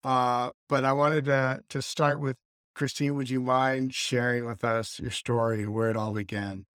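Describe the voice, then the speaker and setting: A man's voice: medium pitch, level tone, a little slow, a bit bright, no echo, clean studio sound. A man hosting a podcast, introducing his guest in a rambling, earnest way full of ums and restarts. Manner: rambling, earnest, hesitant with many fillers. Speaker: podcast host. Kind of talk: casual interview.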